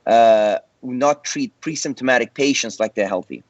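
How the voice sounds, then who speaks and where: A man's voice: medium pitch, normal speed, no echo. A male cardiologist on a panel, speaking in a confident, conversational way with joking asides. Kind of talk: panel discussion. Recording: muffled dry video call audio.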